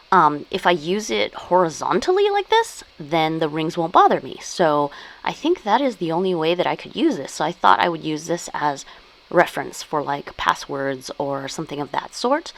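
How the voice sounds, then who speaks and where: Brief: young woman, low voice, relaxed filler-heavy vlog chat about her planners and journals at home. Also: low-quality recording. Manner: chatty, many "like" and "um" fillers. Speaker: stationery vlogger. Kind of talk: casual show-and-tell chat.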